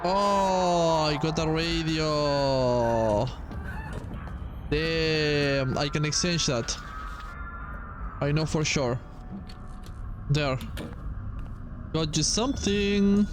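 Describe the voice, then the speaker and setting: A man's voice: on the high side, very slow, long pauses, music in the background. A man who is a native Spanish speaker, giving casual gameplay commentary as he plays, talking to himself with some exasperation and swearing. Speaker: gaming streamer playing a horror game demo.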